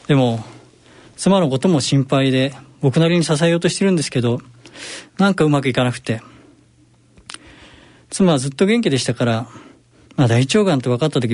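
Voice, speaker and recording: A man's voice: a little high, a little mellow, dry recording, natural voice. A man playing a worried, well-meaning husband in an amateur radio drama, hesitant and earnest.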